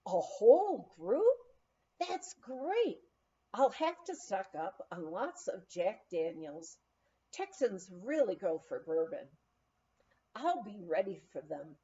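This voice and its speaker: A woman's voice: mid-range voice, slow, muffled recording. A woman voicing an eager, folksy cowboy bartender in a storybook reading. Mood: excited. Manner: folksy, excitable.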